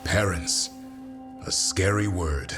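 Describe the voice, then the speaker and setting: A grizzled griffin keeper from a fantasy game, with a low voice. He speaks in an earnest, measured way, like a reflective soldier. Music plays clearly behind him. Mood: reflective, troubled. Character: grizzled, earnest adult male griffin-keeping warrior. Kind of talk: video game dialogue acting.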